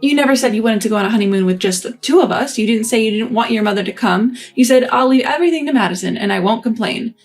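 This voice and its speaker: A woman's voice: a little high, fast, hardly any pauses, soft background music, close to an everyday voice. A woman voicing a passive, indifferent young husband who talks in an offhand, shrugging way.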